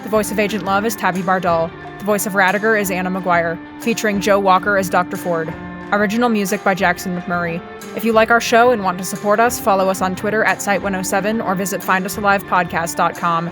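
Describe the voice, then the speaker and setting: Woman credits reader, quick scripted delivery over clear guitar backing. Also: mid-range voice, fairly even intonation. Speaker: podcast credits announcer. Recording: guitar music behind voice.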